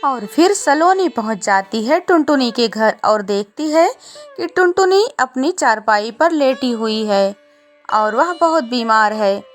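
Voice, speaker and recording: Slow, higher-voiced woman storyteller. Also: animated, soft background music. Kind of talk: scripted children's story narration.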